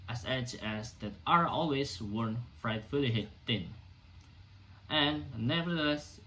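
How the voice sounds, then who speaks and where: A man playing a defiant, indignant doctor orating to a hostile crowd in an amateur dramatic reading of a stage play, emphatic and sometimes halting. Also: medium pitch, fairly bright tone, low-quality recording. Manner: emphatic, declamatory, halting in places.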